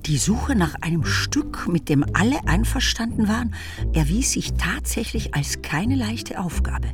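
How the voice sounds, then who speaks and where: A wry storyteller narrating a period novel for radio. Her mid-pitched voice moves in an animated way over clear background music. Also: a little slow. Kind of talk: scripted literary narration in a radio drama.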